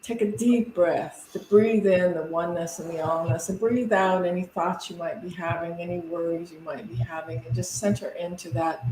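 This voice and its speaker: A woman's voice: fairly low pitch, steady tone, moderate pace, hardly any pauses, a little mellow. Echoey female minister leading a meditative prayer. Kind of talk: guided meditative invocation. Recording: echoey room with faint background noise.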